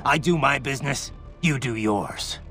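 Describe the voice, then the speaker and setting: A man's voice: mid-range voice, unhurried, vocal fry, pushed and bright, soft background music. An actor playing a hardened cyborg swordsman in a game cutscene, terse and matter-of-fact. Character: hardened cyborg swordsman, adult man, blunt. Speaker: video game protagonist.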